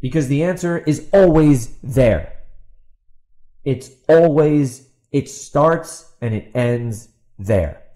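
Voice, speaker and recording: A man with a mid-pitched voice delivers an unscripted solo podcast rant. He sounds exasperated and sarcastic, repeating himself for emphasis and firing rhetorical questions at someone who is not there. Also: lively intonation, slow pace, mellow, low-quality recording. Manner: rhetorical questions put to an absent opponent. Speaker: podcast host and author. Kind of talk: ranting opinion monologue, off the cuff.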